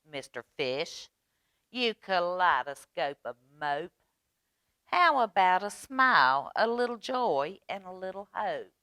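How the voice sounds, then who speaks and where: A woman voicing a bossy, slightly rude female squid in a storybook, with a loud, exclaiming delivery. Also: lowish voice, sing-song, slow, no echo, voice pushed up, exaggerated voice. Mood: brash, scolding.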